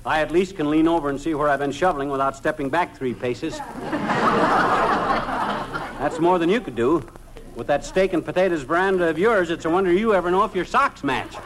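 Male actor as stubborn excitable husband, highish voice, American accent, folksy grumbling, old radio comedy, clearly noisy recording. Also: normal speed, natural voice. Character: blustery, accident-prone, stubborn husband, comic and excitable. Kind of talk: 1940s-50s American radio comedy acting.

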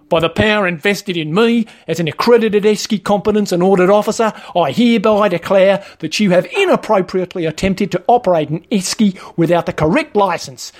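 A man's voice: high voice, voice pushed up, lightly acted. A man voicing an officious, matey Australian male shop trainer for a comic story, with a blokey, rule-bound manner. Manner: blokey, officious, laying down rules.

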